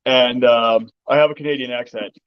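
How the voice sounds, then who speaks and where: A man's voice: medium pitch, fairly even intonation, moderate pace, smooth, dry recording, dull audio. A man hosting a casual chat, jokey and rambling, often laughing. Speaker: streamer, host of a chat.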